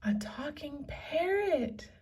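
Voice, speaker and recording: A woman voicing an eager, adventurous young girl in a children's storybook, with an excitable, exclaiming manner. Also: high-pitched, slow, distant mic, pitched above the performer's own voice, exaggerated voice. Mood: excited wonder.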